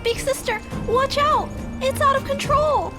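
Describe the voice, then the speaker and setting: A woman voicing a gentle, caring young girl in a video game, polite and earnest. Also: extremely high pitch, measured pace. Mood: alarmed. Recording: game music behind the voice.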